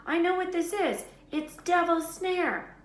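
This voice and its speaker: Bossy young witch girl, acted by a woman, high pitched, anxious, urgent, storybook character, echoey room. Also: slow pace. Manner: urgent, exclamatory. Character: bossy, anxious schoolgirl witch under pressure. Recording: roomy echo, background noise.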